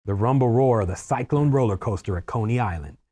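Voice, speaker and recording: A man's voice: mid-range voice, quick pace, mellow. A man narrating a screenplay, reading out scene headings and stage directions as scripted narration.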